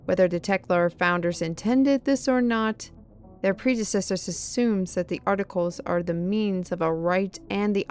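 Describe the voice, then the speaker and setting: A woman's voice: medium pitch, a little mellow, close to an everyday voice. A woman acting a bossy, scheming sorceress mistress in an audio roleplay, with a theatrical, commanding delivery.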